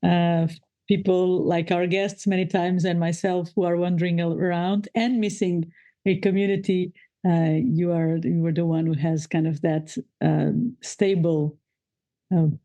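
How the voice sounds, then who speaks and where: A woman from Portugal, hosting a podcast interview over a remote call, friendly and quick to laugh. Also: fairly low pitch, steady tone. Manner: friendly, laughs often, warm welcomes. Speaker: podcast host. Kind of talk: scripted intro then casual interview.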